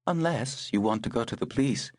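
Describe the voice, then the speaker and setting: A male narrator voicing a brisk, wry aristocratic older woman who talks in a clipped, commanding way. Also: medium pitch, animated, a little mellow, no room sound, clean studio sound, voice pushed up, lightly acted.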